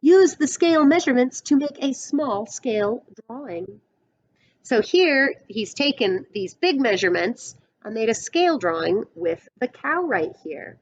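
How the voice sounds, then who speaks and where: A middle-aged woman teacher reading a storybook aloud with explanatory asides, expressive and deliberate, slightly dull webcam sound. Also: mid-range voice. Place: indoors, classroom-like room.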